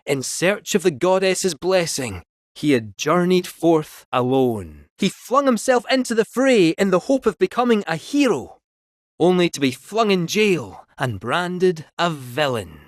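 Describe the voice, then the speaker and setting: An actor playing a theatrical, cheeky robot cat fortune teller with a Scottish accent, in a video game. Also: male voice, high-pitched, a little slow, no echo, studio quality. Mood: storytelling. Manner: grand theatrical storytelling, lively swoops.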